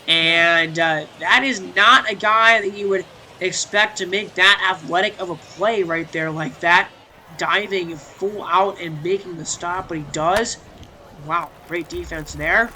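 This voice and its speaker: A man's voice: sits high, measured pace, sharp tone, slight background noise. A young man with a stuffy, congested voice doing chatty play-by-play commentary over a baseball video game, full of fillers and restarts and rising when a big play happens.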